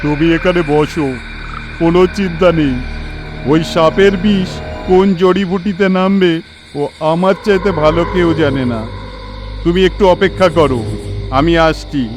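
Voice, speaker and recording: A man's voice: on the high side, unhurried, long pauses, over music, lightly acted. A man playing a mysterious, commanding and reassuring mature forest healer in a cartoon horror story.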